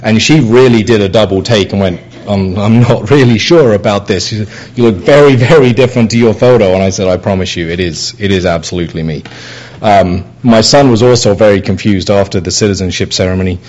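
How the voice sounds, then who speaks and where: A man's voice: on the low side, brisk, darkish tone, dull audio. A middle-aged man with an English accent preaching a sermon, easygoing and conversational, with many fillers and restarts. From England. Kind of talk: sermon with personal anecdotes.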